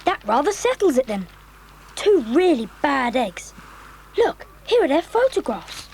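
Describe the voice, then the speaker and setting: Lively, high-voiced, sensible schoolboy. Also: female voice, unhurried, creaky, slight background noise, full character voice. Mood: matter-of-fact. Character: sensible, optimistic boy leader of child adventurers.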